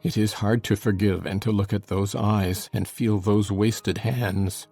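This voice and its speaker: A man acts out a tormented, brooding lover in an audiobook drama. His low, dark voice grinds with gravel as he speaks in a bitter, fiercely passionate, drawn-out way over faint music. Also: steady tone, heavily acted. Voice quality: gravelly, husky. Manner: anguished, drawn-out, intense delivery.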